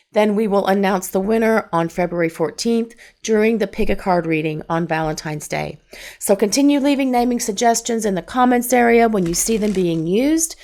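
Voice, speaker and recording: A middle-aged woman leads a pick-a-card tarot reading in a calm, measured voice. She sits in an ordinary middle pitch and asks the same question softly again before each card. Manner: calm, measured, gently repetitive. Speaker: tarot reader.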